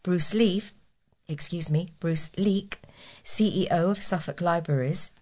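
A woman's voice: lowish voice, a little slow, mellow. A woman reading local news aloud as a volunteer newsreader, formally and with care.

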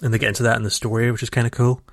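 A man's voice: mid-range voice, little pitch movement, racing, creaky, dry recording. A man co-hosting a casual gaming podcast, talking in long rambling runs full of restarts, recorded raw on a home computer mic. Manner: rambling, many restarts and fillers. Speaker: podcast co-host. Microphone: home computer mic over a call. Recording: dry raw home audio.

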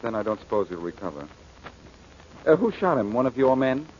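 An actor playing a cocky, unflappable, wisecracking detective in an old-time radio drama, with a glib, teasing manner. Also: male voice, mid-range voice, very animated, fairly quick, a little mellow, lightly acted. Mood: breezy, teasing. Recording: muffled, noisy old radio broadcast recording.

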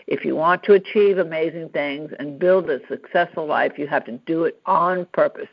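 A woman's voice: low voice, normal speed, creaky. A woman hosting an interview podcast, introducing her guest and asking questions in an encouraging way. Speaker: podcast host. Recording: dull, lo-fi podcast audio.

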